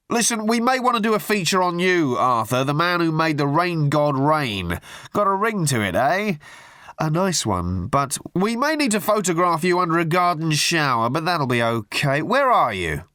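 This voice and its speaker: A man's voice: a little high, big pitch swings, normal speed, sharp tone, dry recording, clean studio sound, voice pushed up. A man voicing a garrulous male tabloid journalist who babbles nonsense endearments on the phone in an audiobook. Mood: gushing. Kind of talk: audiobook character voice.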